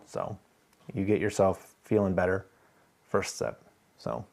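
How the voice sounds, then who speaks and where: A man's voice: deep voice, steady tone, unhurried, vocal fry, a little mellow. A man hosting a casual live stream, thinking aloud as he works and chatting easily with viewers. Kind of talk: casual live stream chat while working.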